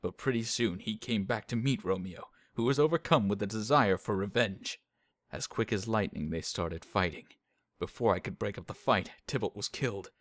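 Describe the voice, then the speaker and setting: A man's voice: lowish voice, normal speed, no echo, natural voice. An actor playing a loyal, earnest young nobleman with a dramatic delivery in a modernized Shakespeare audio drama.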